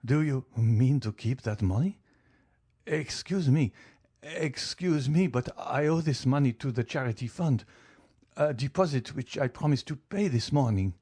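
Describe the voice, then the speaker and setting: A man's voice: medium pitch, lively intonation, unhurried, mellow, husky, voice pushed up. A man voicing a proud middle-aged banker who speaks formally and boastfully. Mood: flustered. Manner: formal, boastful, then stammering.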